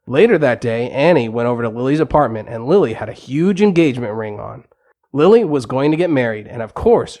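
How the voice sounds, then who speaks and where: A man's voice: mid-range voice, darkish tone. Male narrator for a children's audio storybook, mid-range voice, scripted bedtime tale delivery.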